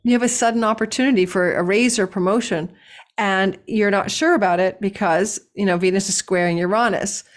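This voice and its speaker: A hoarse woman host giving a casual, digressive horoscope reading, recorded indoors at a relative's house and laughing at herself now and then. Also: mid-range voice. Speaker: astrologer host.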